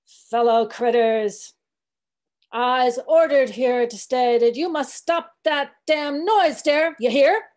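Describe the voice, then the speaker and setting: Storybook role: a sullen old male ship's cook mumbling a sermon, voiced by a woman reader, slow and high. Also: darkish tone. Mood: grumbling.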